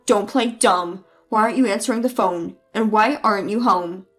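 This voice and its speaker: A woman voicing a bullying, entitled husband who shouts and belittles his wife, with a lowered voice. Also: normal speed, soft background music. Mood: angry. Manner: yelling, belittling, then pleading. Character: bullying entitled husband, loud mama's boy.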